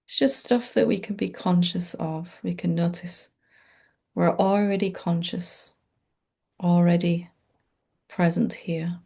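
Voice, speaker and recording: A woman meditation teacher leading a gentle, unscripted guided meditation in a soothing, informal and reassuring way. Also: lowish voice, steady tone, slow pace, gravelly, long pauses, slightly rough.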